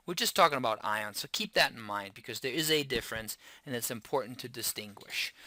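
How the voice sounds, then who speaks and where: A man's voice: medium pitch, sharp tone, dry recording. German-accented man giving a chemistry lecture. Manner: explanatory, with frequent restarts and spelled-out endings. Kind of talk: classroom lecture.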